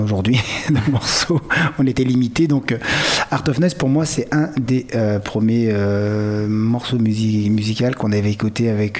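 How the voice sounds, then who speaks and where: A man's voice: lowish voice, a little mellow. A man in his forties from France speaking as a guest in a conversational interview, reflective and hesitant, often rephrasing himself mid-sentence. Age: 40s. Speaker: radio guest, performing company director.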